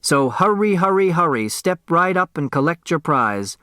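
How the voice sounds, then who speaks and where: A man's voice: on the high side, very animated, normal speed, pushed and bright, smooth, no room sound, clean studio sound, voice pushed up. A man voicing an eccentric rich old man's will in an audiobook, grandiose, showman-like and teasing. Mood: mocking, grand.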